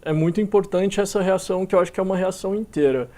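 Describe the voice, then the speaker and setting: Mellow-voiced Brazilian man, relaxed and hesitant. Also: sits high, very fast. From Minas Gerais, Brazil. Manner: relaxed, hesitant, many fillers and restarts. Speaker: musician guest. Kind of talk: casual interview talk.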